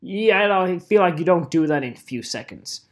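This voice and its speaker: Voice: mid-range voice, animated, fairly quick. A vlogger ranting casually to camera, swearing and joking in a mock-angry way.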